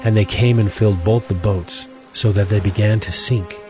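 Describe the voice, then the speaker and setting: Casting: male narrator, slightly low voice, scripted scripture storytelling, music bed. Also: steady tone, normal speed. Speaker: Bible narrator.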